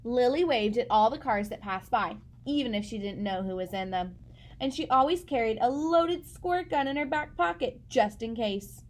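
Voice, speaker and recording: A woman with a fairly high voice narrates a children's story. She reads expressively, as a storyteller addressing young listeners. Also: expressive, moderate pace. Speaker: read-aloud storyteller.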